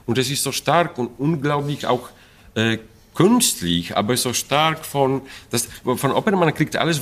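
A middle-aged man from Poland speaks German with a Polish accent, stopping to hunt for words and restarting his sentences. His pitch swings widely up and down as he talks, and he often breaks into laughter. He sounds animated rather than slow. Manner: halting, searching for German words, frequent fillers and restarts. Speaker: opera stage director. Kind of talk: interview about an opera production.

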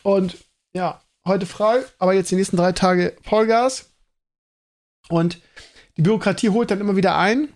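A man's voice: sits high, moderate pace, long pauses, darkish tone. A man, hosting a casual solo podcast in a rambling, colloquial way and often interrupting himself. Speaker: podcast host; school teacher.

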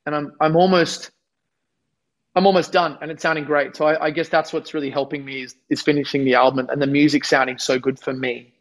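A young man talks through an interview in a fairly high voice that sounds a little worn from losing it. He rambles with lots of restarts and pokes fun at himself. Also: level tone, a little fast, poor audio. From Australia. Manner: rambling, self-deprecating, many restarts. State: losing his voice. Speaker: musician guest. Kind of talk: casual interview chat.

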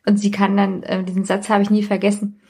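A woman's voice: mid-range voice, monotone, fairly quick, mellow, slightly rough. A woman chatting casually as a podcast guest, recounting things in a loose, tentative, rambling way.

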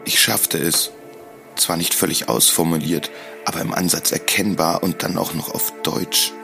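A man reading scripted, dramatic first-person narration in a brooding, solemn and deliberate manner. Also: deep voice, fairly even intonation, creaky, over music.

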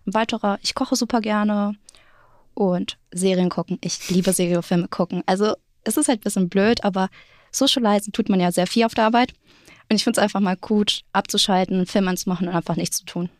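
Female consultant on a podcast, chatty and informal, medium-pitched voice, dry recording. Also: fairly quick, no echo. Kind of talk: casual chat.

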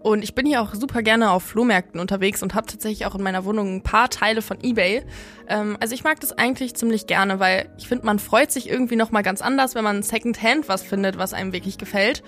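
A woman's voice: medium pitch, quick pace, a bit bright, faint music behind. A young adult woman co-hosting a podcast, chatting casually and presenting. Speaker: podcast co-host.

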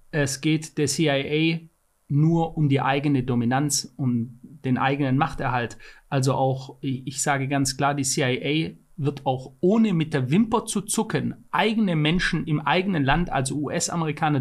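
A man's voice: on the high side, moderate pace. A man co-hosting a podcast, talking in an emphatic, argumentative way, his voice climbing when he stresses a point. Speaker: podcast co-host. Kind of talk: casual conversational podcast.

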